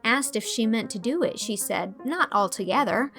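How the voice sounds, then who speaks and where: An actress playing an amused, articulate young schoolteacher narrating a letter to a friend in an audio drama. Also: female voice, fairly high pitch, expressive, normal speed, a bit bright, soft background music. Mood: fond, amused.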